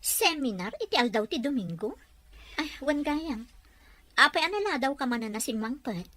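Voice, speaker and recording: A woman's voice: high voice, animated, moderate pace, pushed and bright. An actress playing a troubled young wife and mother in an audio drama, pleading in a restrained way. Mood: suspicious. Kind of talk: Ilocano audio drama acting.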